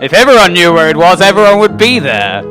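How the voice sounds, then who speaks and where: A man improvising a comedy radio play as a defensive, officious official with a deadpan, hesitant delivery. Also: high-pitched, quick pace, music in the background. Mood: smug, evasive. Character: officious, defensive, youthful small train guard; later large knight.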